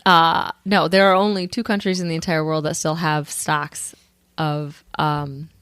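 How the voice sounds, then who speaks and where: A woman's voice: low-pitched, level tone, measured pace, fairly bright tone, clear, resonant tone, no room sound. A woman co-hosting a podcast in casual banter, teasing and laughing often. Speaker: podcast co-host.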